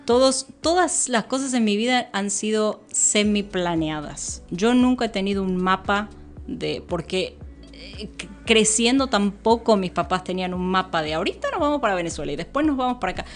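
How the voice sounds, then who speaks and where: A woman's voice: medium pitch, expressive, normal speed, faint music behind. Rambling woman guest, lots of fillers. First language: Spanish, with English since early childhood. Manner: rambling, frequent fillers and self-interruptions, laughs easily. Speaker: podcast guest. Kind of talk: casual conversational interview.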